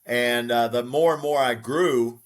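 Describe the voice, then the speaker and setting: A man in his sixties, a real estate broker, telling his life story as an interview guest in a steady, reflective way with frequent fillers. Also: mid-range voice, measured pace. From United States.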